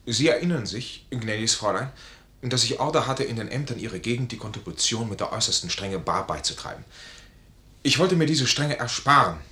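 A proud, stubborn army major in a 1950s radio play. His mid-pitched voice is forceful and bright, ringing in an echoey vintage recording. He declaims formally and gravely, guarding his honour. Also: lively intonation, fairly quick. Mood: bitter, explaining.

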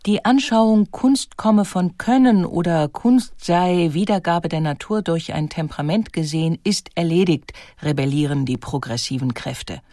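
A woman reading scripted documentary narration, articulate and deliberate, with emphatic storytelling. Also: on the low side, mellow, no room sound, studio quality.

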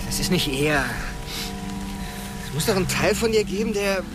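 An uneasy teen male with a fairly high voice wonders aloud in a radio drama, with clear music behind him. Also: animated, moderate pace. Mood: wondering. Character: uneasy, wondering teenage boy out at night.

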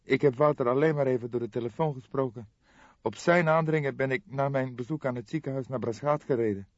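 A man voicing a distraught, helpless young woman in a tearful, halting way for an audiobook. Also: mid-range voice, no room sound, highs cut off, voice pushed up, natural voice. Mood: distressed, helpless. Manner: wailing, halting, tearful delivery. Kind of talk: audiobook character voice.

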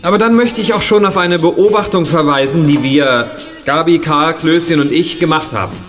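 A man's voice: fairly high pitch, moderate pace, a bit bright, soft background music, lightly acted. An actor playing a confident young male detective in a radio play, questioning with polite insistence. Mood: assertive. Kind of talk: German radio play acting.

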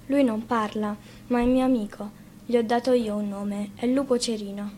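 A woman's voice: a little high, fairly even intonation, normal speed, mellow, a little hiss or hum, natural voice. A woman voicing a confident, bossy six-year-old boy in a fairy tale, speaking firmly and matter-of-factly. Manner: matter-of-fact, firm.

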